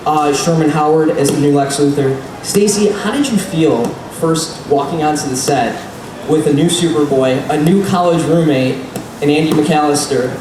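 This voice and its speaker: A man moderating a live convention panel, giving enthusiastic announcer-style introductions of his guests. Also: a little high. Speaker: panel moderator and podcast host. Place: large convention hall. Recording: echoey hall miked from far off with noise.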